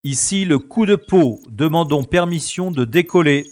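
A man playing a weary, bantering spaceship commander in a science fiction audio drama, with a measured manner. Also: a little high, animated, darkish tone, natural voice. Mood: businesslike. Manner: measured, exasperated with his computer.

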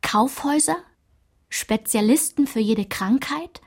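A woman performing a narrator who recalls her small-town French childhood in a literary radio play, in a measured, enumerating delivery. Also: fairly high pitch, a little mellow. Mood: measured, reflective.

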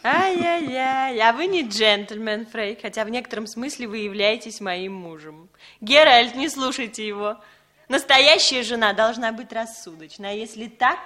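A woman's voice: on the high side, lively intonation, moderate pace, sharp tone. An actress playing a cool, calculating female secret agent in a 1960s Soviet radio play, speaking in an articulate, self-assured, lecturing way. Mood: teasing.

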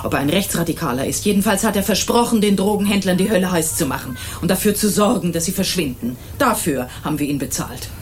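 A woman's voice: fairly low pitch, animated, fast talker, few pauses, soft background music, natural voice. A middle-aged woman acting an indignant, self-righteous mother in a German radio crime drama, with a stern, emphatic delivery. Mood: bitter, insistent. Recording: 1980s radio drama with faint noise.

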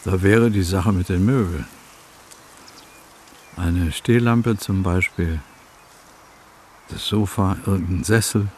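An actor playing a brooding bereaved brother in a radio drama, musing in a halting, dry and wryly unsettled way. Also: male voice, low voice, a little hiss or hum. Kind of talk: naturalistic radio play acting.

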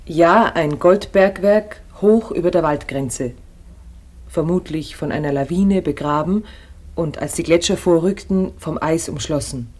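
A woman playing a calm, knowledgeable narrator, delivering scripted narration in a radio play. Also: deep voice, unhurried. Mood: measured. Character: calm, knowledgeable female narrator explaining history.

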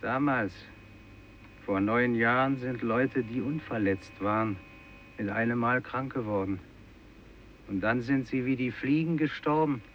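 A man's voice: medium pitch, a little slow, lightly acted. An actor playing a wounded, bleakly resigned fisherman, grave in tone, in a 1950s German radio drama. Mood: grim. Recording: muffled old radio recording, faint noise.

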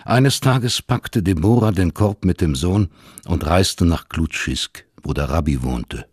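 Low, husky male narrator, dry studio. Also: unhurried, dry recording. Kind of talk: literary scripted narration in a radio play.

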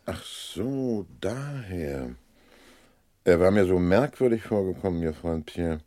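An actor playing a dry, sardonic police inspector in a German radio crime drama. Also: male voice, sits low, animated, takes it slowly, mellow, no echo.

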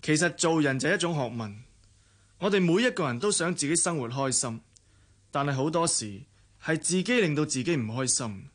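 An actor with a Hong Kong Cantonese accent playing an easygoing young adult elder brother in a radio drama, wry and reflective. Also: male voice, on the high side, animated, fairly quick.

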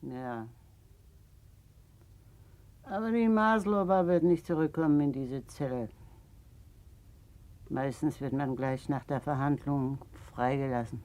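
A woman's voice: rumbling low, darkish tone. An actress playing an older, motherly woman convict, earthy, blunt and consoling, in a 1960s German radio drama. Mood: puzzled, concerned. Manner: slow, plain-spoken, earthy.

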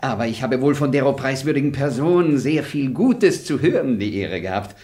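A man's voice: medium pitch, very animated, moderate pace, a little mellow, lightly acted. An actor playing a crude, scheming young male robber who fawns and laughs in an old radio play. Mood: fawning. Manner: crude, sly, fawning, laughs often. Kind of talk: 1960s radio play acting.

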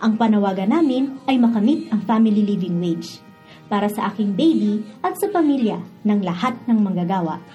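A woman's voice: medium pitch, lively intonation, moderate pace, dark tone, soft background music, natural voice. A woman playing a hopeful working mother, earnestly narrating a letter in a radio drama.

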